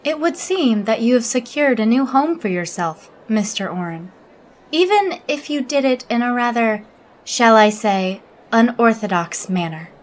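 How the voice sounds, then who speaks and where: A woman playing a logical alien officer in an audio drama, interviewing in a formal, measured, reserved manner. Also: on the high side, expressive.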